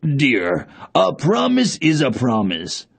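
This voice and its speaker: A fatherly storybook king, played by a man, with a creaky, husky voice. He is stern and principled, admonishing slowly with sweeping intonation. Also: mid-range voice, huge pitch swings, exaggerated voice. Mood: firm. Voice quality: gravelly, husky. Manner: measured, admonishing. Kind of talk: storybook voice acting.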